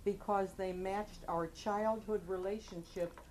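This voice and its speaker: A woman from the audience of a meeting, reading a passage aloud at a steady, even pace. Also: medium pitch, measured pace, noisy background.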